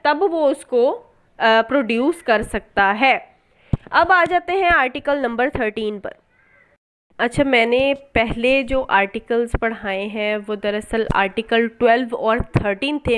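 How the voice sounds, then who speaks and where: High-pitched woman teaching. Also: clean tone. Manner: didactic, asks and answers own questions; code-switches into English terms. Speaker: law lecturer. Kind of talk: explanatory law lesson.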